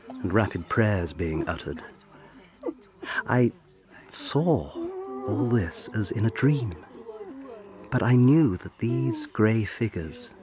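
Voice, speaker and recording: An actor playing an earnest young eighteenth-century lawyer, narrating his tale gravely and intensely in an audio drama. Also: deep voice.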